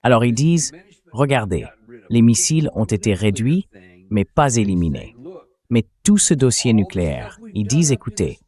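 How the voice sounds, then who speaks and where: A man hosting a political talk show, explaining his argument in a measured way as a synthetic dubbed read. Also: on the low side, very animated, darkish tone. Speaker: host.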